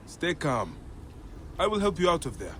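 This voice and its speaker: An actor playing a warrior who is a gentle, playful uncle to children, theatrical, in a video game. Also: male voice, a little high, sing-song, normal speed, a little hiss or hum. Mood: concerned. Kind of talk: video game dramatic acting.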